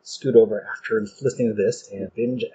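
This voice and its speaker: A man's voice: on the low side, steady tone, fairly quick, mellow. A man hosting a podcast, asking questions and chatting casually. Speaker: podcast host. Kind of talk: casual interviewing. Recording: dull lo-fi audio, clearly noisy.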